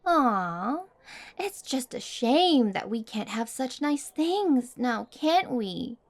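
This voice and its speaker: Woman acting a haughty, high-voiced popular girl. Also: very animated, slow, exaggerated voice. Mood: taunting, wistful.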